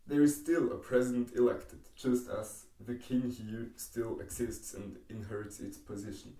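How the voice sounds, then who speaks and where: A young man from Switzerland with a Swiss accent, English not his first language, slowly explaining politics over diagrams with many pauses and ums. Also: mid-range voice, mellow, far from the mic. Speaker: educational YouTuber. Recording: echoey room, voice away from the mic.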